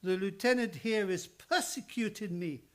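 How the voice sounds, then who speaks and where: A man's voice: high-pitched, moderate pace, a bit bright. A man playing a kindly, grumbling old psychoanalyst who speaks broken English in a live old-time radio play. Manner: broken English with dropped articles.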